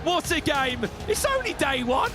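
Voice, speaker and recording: A man casting an esports penalty shootout, excitable and shouting, his voice going hoarse. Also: very high voice, measured pace, music in the background. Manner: excitable, shouting at big moments. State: losing his voice. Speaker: esports caster. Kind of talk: play-by-play commentary.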